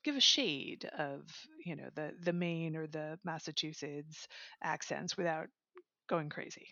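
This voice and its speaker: A woman's voice: low voice, unhurried, pushed and bright, no echo. A woman reviewer in casual chat, summarizing a book enthusiastically and laughing now and then. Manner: enthusiastic, chatty, laughs while talking. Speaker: audiobook reviewer, guest. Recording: dry, slightly dull lo-fi sound.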